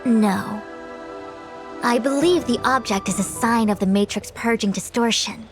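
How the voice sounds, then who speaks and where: A woman's voice: on the high side, a little slow, faint music behind, natural voice. An actress playing a composed, self-assured female sage in an anime-style game, speaking formally and precisely with authority. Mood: measured, explanatory.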